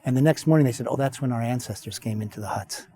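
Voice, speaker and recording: A man's voice: medium pitch, quick pace, warm tone, husky. A man on a discussion panel, recounting a personal experience in an anecdotal way. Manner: anecdotal, storytelling. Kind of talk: roundtable discussion.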